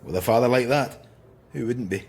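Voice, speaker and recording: An actor playing a sardonic, insubordinate middle-aged Scottish police detective in a radio drama, with a deadpan manner. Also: male voice, mid-range voice, normal speed, breathy edge. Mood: wry.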